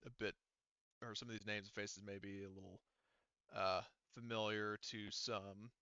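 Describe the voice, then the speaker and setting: A man with a bright, clean voice runs a tabletop roleplaying game as its game master. He narrates casually and haltingly, feeling his way through sentences. The recording is close and dry, slightly dull like voice chat. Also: lowish voice, little pitch movement, clear, resonant tone, no room sound.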